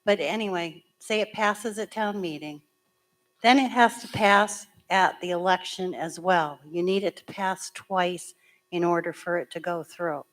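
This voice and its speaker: A woman town clerk with a hoarse voice, chattily explaining voting procedure step by step at a public meeting. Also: on the low side, unhurried. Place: school meeting room.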